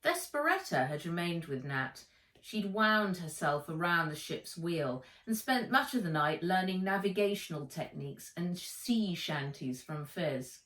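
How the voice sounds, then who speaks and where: A woman narrates a children's audiobook at a very slow, deliberate pace. Her pitch sits a little low, and she reads with expression. The recording is very echoey, as if she is some way from the microphone. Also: lively intonation, a bit bright. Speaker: author reading own book aloud. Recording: roomy echo, distant microphone.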